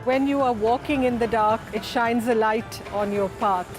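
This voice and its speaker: A middle-aged woman speaking in a measured, reflective way in a documentary interview. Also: on the high side, over music. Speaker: founder of the orchestra foundation.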